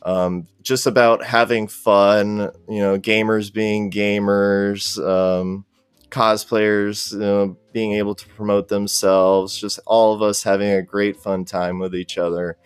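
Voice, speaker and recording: A man's voice: lowish voice, little pitch movement, slow, clean tone, no echo. A man speaking as a podcast guest in casual, easygoing, appreciative conversation. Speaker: podcast guest, cosplay group organizer. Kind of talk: casual interview chat.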